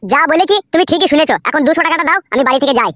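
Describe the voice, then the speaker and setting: Woman voicing a cheeky, high-pitched, rapid-fire cartoon boy. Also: expressive, fairly bright tone, no room sound, studio quality. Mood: brazen, insolent. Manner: insolent, argumentative, matter-of-fact. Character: cheeky, brazen, smooth-fibbing young village boy. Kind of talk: comedy cartoon dialogue.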